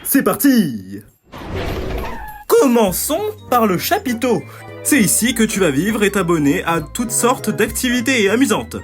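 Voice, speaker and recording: An actor voicing a manic showman ringmaster AI in an animated fan dub, with a grandiose announcer's delivery. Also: medium pitch, a little slow. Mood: showy, enthusiastic. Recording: music behind the voice.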